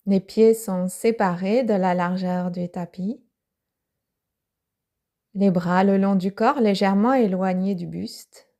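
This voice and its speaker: A woman yoga teacher guiding a yoga nidra relaxation, speaking softly with breathy, half-whispered phrases. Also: mid-range voice, steady tone. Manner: slow, soft-spoken guidance with breathy, half-whispered phrases.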